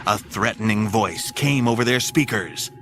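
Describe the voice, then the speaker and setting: A man's voice: on the low side, normal speed, over music, close to an everyday voice. A man narrating an audio drama in an urgent, theatrical storytelling style.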